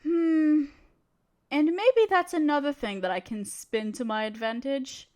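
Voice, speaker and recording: High-pitched, haughty evil empress played by a woman. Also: a little slow, a little mellow. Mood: gleeful, plotting. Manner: imperious, theatrical, conniving. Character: haughty, scheming evil empress, female, imperious yet playful. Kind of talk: scripted audio roleplay, speaking to a listener.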